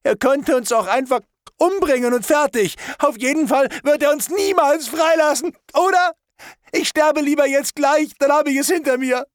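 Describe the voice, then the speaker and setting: A man's voice: very high-pitched, normal speed, dry recording, clean studio sound, pitched above the performer's own voice. A man voicing a soaked, weeping young female captive who screams in hysterical defiance. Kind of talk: audiobook reading.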